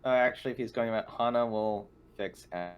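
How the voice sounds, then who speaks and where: A man's voice: medium pitch, fairly quick. A man playing a tabletop roleplaying game, chatting casually over an online voice call. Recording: faint noise on online voice chat.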